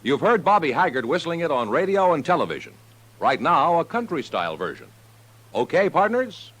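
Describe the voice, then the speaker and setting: A man's voice: a little high, sing-song, moderate pace, a bit bright, a little hiss or hum, rough recording. An American man reading a scripted commercial in the style of a 1950s radio announcer. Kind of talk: 1950s radio advertising read.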